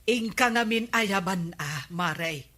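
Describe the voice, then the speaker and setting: Outspoken neighbor woman, Filipino accent, unhurried pace, bright tone, chiding, radio comedy drama. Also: mid-range voice, animated. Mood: chiding, teasing.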